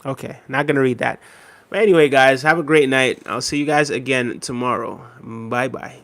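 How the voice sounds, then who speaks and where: A man in his thirties hosting a casual livestream, reading viewer comments aloud in a relaxed, repetitive way with many fillers, a little unwell and tired. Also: medium pitch, normal speed, dry recording. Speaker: livestream host.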